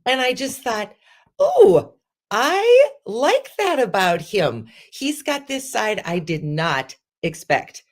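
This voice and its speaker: A woman in her forties hosting a casual interview, animated and laughing often. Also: fairly high pitch, slow pace. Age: 40s. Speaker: host.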